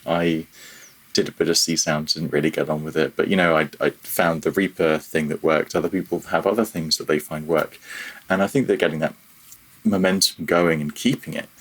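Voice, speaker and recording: A man's voice: extremely low pitch, steady tone, fairly quick, fairly bright tone, slightly rough. A man chatting casually as a podcast guest, self-deprecating and a little hesitant in how he phrases things. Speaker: podcast guest, freelance audio programmer. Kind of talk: casual interview chat.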